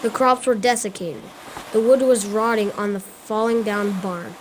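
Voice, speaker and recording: A woman's voice: medium pitch, fairly even intonation, moderate pace, natural voice. A young schoolgirl giving scripted narration for a radio drama. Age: child, about 10. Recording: clearly noisy ambient sound.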